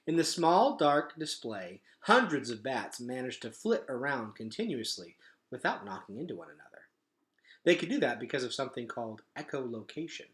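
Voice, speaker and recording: A man reading a storybook aloud as an expressive, dramatic narrator. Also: medium pitch, normal speed. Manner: animated, dramatic. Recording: echoey room.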